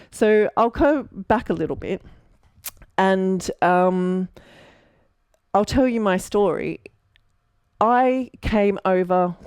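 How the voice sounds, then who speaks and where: An Australian woman in her forties tells her story to the camera in a loose, chatty way, full of fillers and restarts. Her voice sits a little low. The sound is close and dry, with no room echo. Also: darkish tone. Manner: chatty, with fillers and self-corrections. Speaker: architect and mentor.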